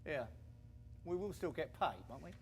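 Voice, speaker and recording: A man's voice: fairly high pitch, animated, normal speed. A man playing a cheeky, money-minded 1920s bandleader and war veteran in a radio play, breezy and informal. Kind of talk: period radio drama acting.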